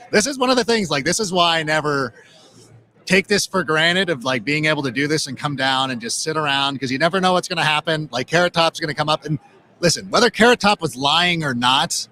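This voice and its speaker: A man from Southern California hosting a casual live sports podcast, talking in an excitable, rambling way. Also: on the high side, slight background noise. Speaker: podcast host. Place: live podcast table at a busy convention media area.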